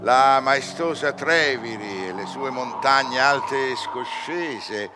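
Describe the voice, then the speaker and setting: A man's voice: mid-range voice, takes it slowly, lightly acted. A male actor playing an eager, pleasure-hungry scholar, declaiming and savouring his words in a theatrical radio drama. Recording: clear background noise.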